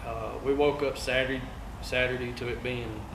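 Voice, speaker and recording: A man's voice: medium pitch, steady tone. Laid-back East Texas man, echoey room. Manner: laid-back, halting with many fillers. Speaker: guest angler. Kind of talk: casual chat. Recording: echoey room with loud ambient noise.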